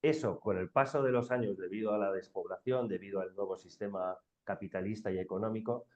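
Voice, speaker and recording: Low-voiced northern Spanish man, dull audio. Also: a little mellow. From Bilbao, Spain. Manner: emphatic, colloquial, uses rhetorical questions. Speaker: round-table panelist. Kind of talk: informal panel talk.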